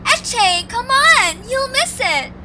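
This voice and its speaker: Very high, spirited young creature girl, woman acting. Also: very animated, slow pace, background noise, full character voice. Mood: urgent, excited. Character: bold young girl Zoombini, small flying creature, impatient.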